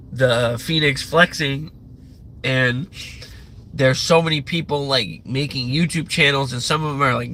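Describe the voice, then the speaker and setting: Young male streamer, bright and forceful, loose profane rambling, faint background hum. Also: medium pitch. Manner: loose, profane, meandering talk with many fillers. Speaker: livestream host. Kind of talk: rambling live rant.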